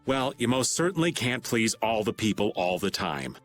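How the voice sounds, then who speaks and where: An actor playing an easygoing, teasing, world-weary drifter with a folksy, slangy way of talking in a video game. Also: male voice, lowish voice, very animated, normal speed, soft background music.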